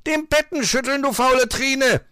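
A man's voice: extremely high pitch, moderate pace, sharp tone, clean tone, no room sound, studio quality, voice pushed up. A man voicing a grumpy, hot-tempered fox in a storybook, scolding in exasperation. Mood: furious. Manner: scolding, exasperated, old-fashioned exclamations.

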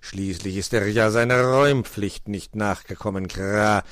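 Pompous male raven played by a man, stern, drawn-out words, very slow, cawing, storybook inspector. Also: fairly low pitch, fairly bright tone, dry recording, clean studio sound. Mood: stern, officious.

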